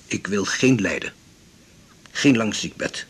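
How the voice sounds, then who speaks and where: An actor playing an elderly, blunt, resolute widowed seaman in a radio drama, speaking in a measured, formal, philosophical way. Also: lowish voice, slightly rough. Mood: resolute, grave. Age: 70s or older. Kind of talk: 1980s Dutch radio drama acting.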